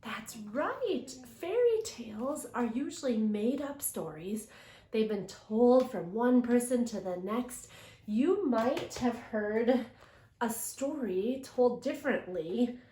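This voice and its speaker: Slow-paced woman teacher in an echoey room. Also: on the high side, lively intonation, mellow. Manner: interactive, asks questions and affirms imagined answers. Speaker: elementary English language development teacher. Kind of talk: children's read-aloud lesson. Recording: roomy, echoing sound.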